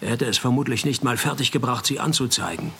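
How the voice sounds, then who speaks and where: A man's voice: mid-range voice, quick pace, gravelly, husky, exaggerated voice. An actor playing a dry, sardonic private detective, narrating his case in a radio play. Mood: accusing, sardonic. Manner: deadpan, laconic, sardonic.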